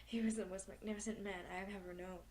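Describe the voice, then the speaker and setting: A woman's voice: mid-range voice, steady tone, fast, a little mellow, natural voice. A woman playing the wistful, lonely daughter of a time-travelling hero, speaking earnestly and reflectively over some background noise.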